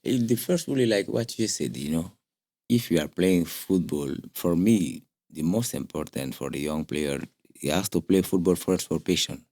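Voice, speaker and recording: Footballer guy from guinea, mellow low voice, softly talking, dry sound. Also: expressive, normal speed, dry recording. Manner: soft-spoken, loose phrasing, frequent short backchannels. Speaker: guest footballer. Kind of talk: casual interview chat.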